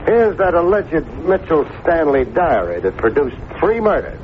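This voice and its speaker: An actor playing a tough, wisecracking private detective with clipped, sardonic delivery in a vintage radio drama. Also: male voice, fairly high pitch, big pitch swings, normal speed. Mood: sardonic, pointed. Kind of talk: 1950s radio detective acting and narration. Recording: muffled, hissy old radio recording.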